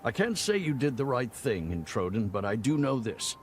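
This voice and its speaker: An actor playing a sober, formal army general in a fantasy game, speaking earnestly and deliberately. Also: male voice, mid-range voice, big pitch swings, soft background music, close to an everyday voice. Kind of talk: dramatic fantasy game cutscene acting.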